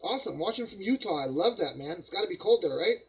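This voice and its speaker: A man hosting a casual live stream from his hobby bench, his voice froggy and rough from a cold. He talks in a slightly higher register for a man. He rambles easily and greets viewers by name as he works. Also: lively intonation, quick pace, sharp tone, rough recording. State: hoarse from a cold, coughing. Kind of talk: casual live-stream chat while model building.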